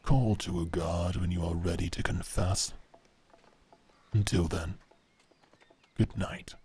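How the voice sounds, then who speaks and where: A stern knight, acted by a man, speaks in a low and very husky voice. He gives curt, formal commands at a slow, measured pace, in the manner of a medieval audio drama. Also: steady tone, darkish tone, heavily acted. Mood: commanding.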